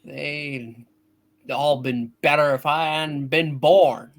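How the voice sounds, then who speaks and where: A man's voice: on the high side, fairly even intonation, slow. A man playing a troubled, skeptical everyman in a hammed-up radio play reading, hesitant and folksy with stammered starts. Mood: bitter, despairing. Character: troubled, skeptical, despairing everyman in old film.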